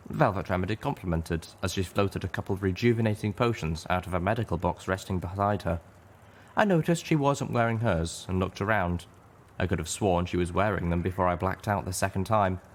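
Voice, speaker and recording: A man's voice: low voice, a little fast. A man reading a scripted story aloud as a dramatic audiobook narrator. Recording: echoey, distant mic, loud music behind.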